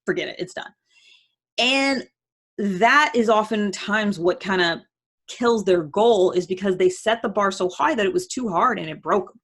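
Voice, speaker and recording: A woman's voice: medium pitch, moderate pace, a bit bright, slightly rough. A woman giving casual motivational advice as a host, conversational and emphatic, often voicing imagined self-talk.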